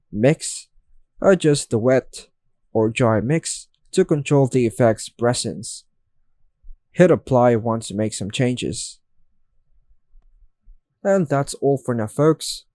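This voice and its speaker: A man's voice: mid-range voice, expressive, slow pace, pauses often, dark tone, no echo, studio quality. A man reading a scripted how-to narration in an instructional, step-by-step manner, in short separated phrases. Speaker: tutorial narrator.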